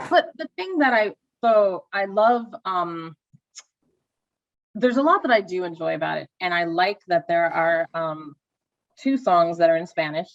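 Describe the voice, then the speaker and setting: Chatty woman with a low voice, dull lo-fi sound. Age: adult with children. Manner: chatty, enthusiastic, quick interjections. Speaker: podcast co-host. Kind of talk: casual chat.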